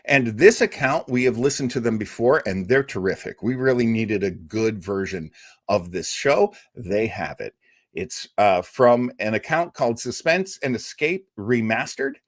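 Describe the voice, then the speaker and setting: A man's voice: mid-range voice, lively intonation, normal speed, no echo, studio quality. A man hosting a live show, chatty and rambling as he reads out viewer comments. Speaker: show host. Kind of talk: casual livestream chat.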